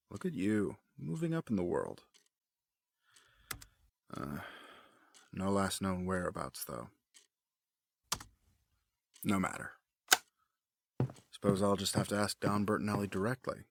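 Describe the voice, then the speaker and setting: Man acting a smooth, low-voiced genteel schemer. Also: noisy background, exaggerated voice. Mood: sly, calculating. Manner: genteel, measured, formal phrasing. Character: smooth, scheming middle-aged man feigning fatherly warmth. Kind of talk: audio drama acting.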